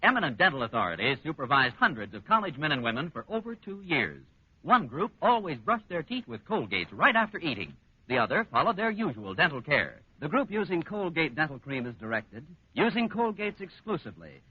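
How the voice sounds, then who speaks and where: Husky, animated male commercial announcer. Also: fairly high pitch, a little fast, no room sound. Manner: earnest, formal, emphatic. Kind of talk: 1940s radio commercial reading. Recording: muffled dry old radio recording.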